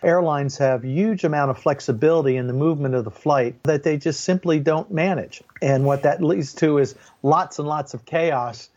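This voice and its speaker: A man's voice: a little high, moderate pace, hardly any pauses, darkish tone, dull audio. An older man, a former pilot being interviewed, explains his views emphatically and with strong opinions. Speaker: interview guest, former airline and Air Force pilot.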